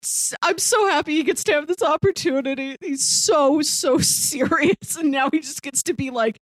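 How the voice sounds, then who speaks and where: A woman's voice: high-pitched, normal speed, dry recording, poor audio. A woman co-hosting a podcast in casual chat, talking with enthusiasm and strong opinions about what she watches. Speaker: podcast co-host discussing a TV series.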